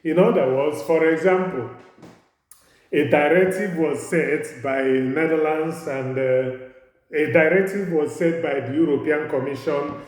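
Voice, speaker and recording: A man with a Nigerian English accent giving a university law lecture, halting and repeating words as he explains. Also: on the high side, darkish tone. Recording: very echoey room.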